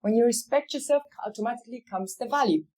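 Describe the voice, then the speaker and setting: A woman's voice: a little high, fast talker, a little mellow. Emphatic woman from Africa, motivational interview guest. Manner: emphatic, repeats key phrases for weight. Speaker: interview guest, real estate company CEO.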